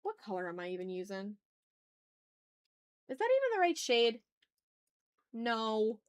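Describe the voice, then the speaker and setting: A woman's voice: on the high side, very animated, measured pace, smooth, dry recording, clean studio sound. A woman livestreaming as she draws, chatting casually in slang and muttering to herself with playfully dramatic, exasperated asides. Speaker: art livestreamer, host.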